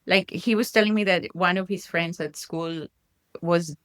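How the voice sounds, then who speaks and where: Colombian-accented woman, low voice, dry sound. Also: normal speed, a bit bright, dry recording. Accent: Colombian Spanish. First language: Spanish. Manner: reflective, many restarts and fillers. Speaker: guest parent. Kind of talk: casual conversation.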